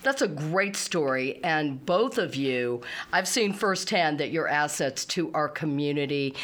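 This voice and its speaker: A woman hosts a radio talk show in a polished, upbeat announcer style. Her voice sits a little low for a woman and carries well in the studio. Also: a bit bright. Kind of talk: radio interview hosting.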